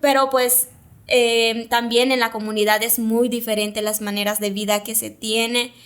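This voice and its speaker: Young woman, reflective, slightly high voice. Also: level tone, a little slow, fairly bright tone. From Mayapán, Yucatán, Mexico. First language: Yucatec Maya. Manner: reflective, hesitant, many fillers. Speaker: guest, communicator. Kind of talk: casual interview conversation.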